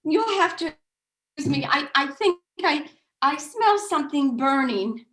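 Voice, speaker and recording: A woman's voice: high voice, expressive, unhurried, a bit bright. A woman in a staged reading, playing a disapproving, opinionated 1960s housewife, emphatic and self-righteous. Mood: evasive. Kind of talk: staged reading of a satirical play. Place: video call.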